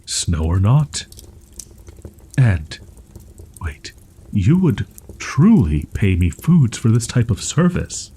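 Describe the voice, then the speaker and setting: A man playing a proud, wounded young wolf-boy in an audio roleplay, stammering in archaic, formal phrasing with a husky, breathy voice. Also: fairly low pitch, sing-song, slow pace, long pauses, mellow, exaggerated voice. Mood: timid, doubtful. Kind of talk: roleplay audio drama, ASMR.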